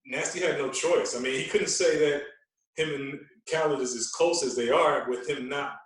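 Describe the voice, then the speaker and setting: Male podcast guest, very echoey room, slightly off-mic, earnest and deliberate, repeats for emphasis. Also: a little high. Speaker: podcast guest, radio DJ and fitness coach. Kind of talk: casual conversational explaining. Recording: roomy echo, distant from mic.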